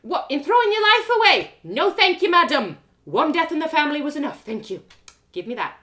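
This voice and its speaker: A woman's voice: high voice, very animated, fairly quick, fairly bright tone, pitched above the performer's own voice. A woman voicing an anxious, domineering village mother in a fantasy story, scolding and insistent. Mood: angry.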